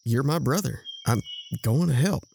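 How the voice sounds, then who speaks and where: Man acting a gravelly, animated, exasperated brother. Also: mid-range voice, moderate pace, a little hiss or hum. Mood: patient, caring. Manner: wry, incredulous, instructive. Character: exasperated, practical, protective brother, handy and patient. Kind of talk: audio drama acting.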